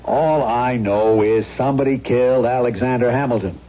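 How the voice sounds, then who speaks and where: An actor playing a brisk, skeptical police lieutenant who questions insistently, in a 1970s radio drama. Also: male voice, mid-range voice, lightly acted. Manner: probing, insistent, businesslike. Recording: muffled vintage radio broadcast.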